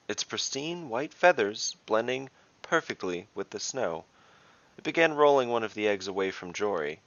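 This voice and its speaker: A man reading scripted fantasy narration as a podcast storyteller, in a measured, dramatic way. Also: low voice, creaky, fairly bright tone, lo-fi sound. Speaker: storyteller and dungeon master, podcast host.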